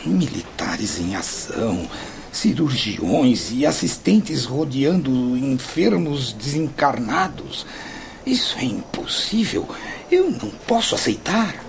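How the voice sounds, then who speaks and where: A man's voice: medium pitch, very animated, slow, heavily acted. An actor with a Brazilian accent playing a troubled, incredulous dead man in a radio drama. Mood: disbelieving, shaken. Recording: clear background noise.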